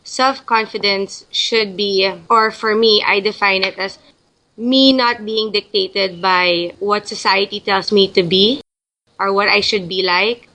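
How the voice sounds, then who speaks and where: Bright-voiced chatty woman from the Philippines. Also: mid-range voice, fairly even intonation, a little slow, rough recording. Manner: chatty, thinking aloud with many restarts. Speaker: guest. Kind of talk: casual interview answers.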